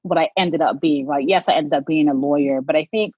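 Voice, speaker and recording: A woman's voice: low-pitched, brisk, breathy edge, dry recording, dull audio. A middle-aged woman from Barbados, a lawyer and podcast guest, talking reflectively in an interview and laughing often. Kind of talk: conversational interview.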